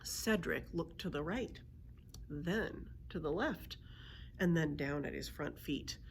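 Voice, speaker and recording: A woman's voice: deep voice, slow, vocal fry, slight background noise. A woman narrating a children's book aloud as a librarian storyteller.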